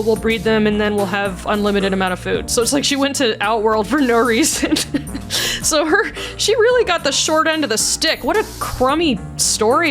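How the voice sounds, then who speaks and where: Woman, casual commentary, chatty, blunt, laughs a lot, a bit high-pitched. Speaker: voice mod creator.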